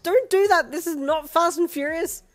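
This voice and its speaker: A woman voicing an excitable young woman who shrieks and panics her way through a chase. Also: sits high, moderate pace, vocal fry, faint music behind, voice pushed up. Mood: panicked.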